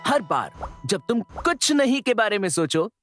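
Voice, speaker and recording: A woman's voice: medium pitch, very animated, normal speed. A woman playing a playful, wise male guide who teaches children in a theatrical, encouraging way in an educational cartoon. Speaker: storytelling teacher. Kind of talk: animated educational cartoon narration. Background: background music.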